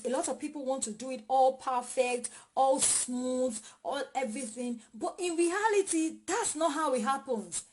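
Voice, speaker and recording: A woman's voice: high-pitched, a little mellow, slight background noise. A woman, chatting directly to viewers during a live question session, recorded on a phone at home. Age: 30s. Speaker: vlogger answering viewer questions. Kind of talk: casual live Q&A chat. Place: small home in a city.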